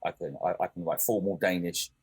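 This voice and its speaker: A man's voice: sits low, fairly even intonation, fairly quick, breathy edge. A man co-hosting a podcast, talking casually and hesitantly, with lots of fillers and self-corrections. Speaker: podcast co-host. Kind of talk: casual chat.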